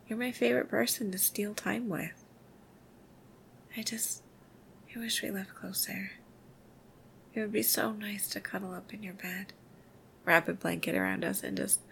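A woman doing a whispered ASMR girlfriend roleplay, soft, intimate and affectionate. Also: medium pitch, vocal fry, slight background noise.

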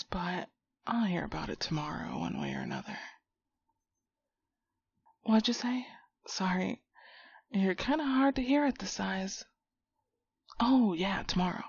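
A woman acts out a brash, protective young female buddy in an audio roleplay drama. Her voice is breathy and husky, with an unhurried, slangy, profane way of talking straight to a friend. The sound is roomy and a little dull. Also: medium pitch, darkish tone, exaggerated voice. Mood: apologetic, soothing, then casual, reassuring. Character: foul-mouthed, hot-tempered but protective young friend, finding shrunken buddy. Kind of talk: immersive one-sided audio roleplay drama.

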